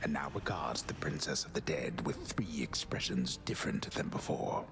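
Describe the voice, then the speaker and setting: Extremely deep gravelly storyteller. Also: male voice, animated, background noise, exaggerated voice. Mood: solemn. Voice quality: gravelly, hushed. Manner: grave, deliberate, literary. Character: solemn, wistful old male mythic storyteller. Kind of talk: scripted video game narration.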